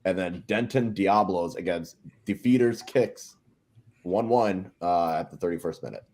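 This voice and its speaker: Low-voiced man reading scores. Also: measured pace. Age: 30s. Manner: steady, listing scores.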